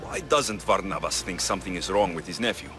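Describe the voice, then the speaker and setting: An actor playing a capable, earnest Greek mercenary hero in a video game cutscene. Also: male voice, sits low, expressive, a little fast, over music. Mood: concerned, firm.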